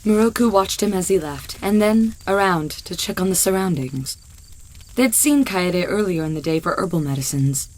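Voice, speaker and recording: A woman's voice: lowish voice, expressive, normal speed, low-quality recording. A woman narrator reading a scripted story as part of an audio drama.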